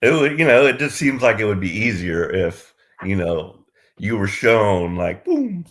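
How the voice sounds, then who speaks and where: A man chatting casually as a student in a spiritual discussion, tentative and halting as he gropes for words. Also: on the low side. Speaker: student taking part in a spiritual Q&A. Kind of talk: casual chat.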